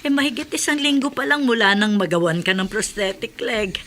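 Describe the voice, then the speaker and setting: An actress playing a worried, caring middle-aged mother in a radio drama, fretting and gently scolding. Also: on the high side, a little fast, a little hiss or hum. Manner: fretful, animated scolding.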